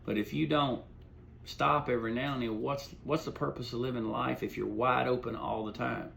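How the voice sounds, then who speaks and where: A man's voice: medium pitch, fairly even intonation, normal speed, gravelly, fairly bright tone, a little hiss or hum. A middle-aged man giving an informal, folksy talk, telling stories and offering plainspoken advice, repeating phrases for emphasis. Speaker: host.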